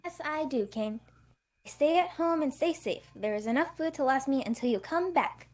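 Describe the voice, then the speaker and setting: A woman's voice: high voice, lively intonation, normal speed, darkish tone. A woman voicing a feisty but obedient young girl, a little sister, in a hobby table read.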